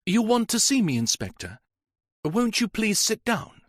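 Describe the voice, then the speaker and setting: A man's voice: sits high, very animated, a little slow, husky, dry recording, studio quality, voice pushed up, full character voice. A man voicing a distraught, grieving young woman who speaks in impassioned, tearful outbursts. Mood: polite.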